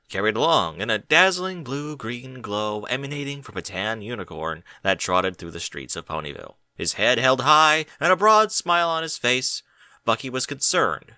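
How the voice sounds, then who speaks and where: Male audiobook narrator, mid pitch, very lively intonation, dramatic phrasing, close dry sound. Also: no room sound. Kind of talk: expressive scripted story narration.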